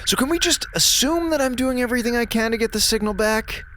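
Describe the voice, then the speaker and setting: A man's voice: very high-pitched, quick pace, a bit bright, a little hiss or hum. A man playing a tired, grumpy spaceship communications officer, exasperated and sarcastic, in an audio drama.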